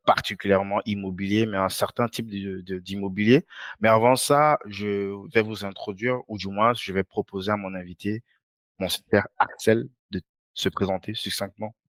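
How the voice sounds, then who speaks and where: A man hosting an interview in a conversational way, hesitant, often pausing in mid-sentence. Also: on the low side, no echo. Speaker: podcast host.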